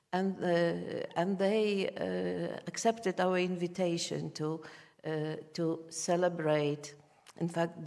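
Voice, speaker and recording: A woman moderator introduces the speakers, pausing often on "uh" as she searches for words. Her voice sits slightly low and trails into a long reverberant hall. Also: creaky, a little mellow. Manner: hesitant, many filled pauses. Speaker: conference moderator. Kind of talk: formal introduction.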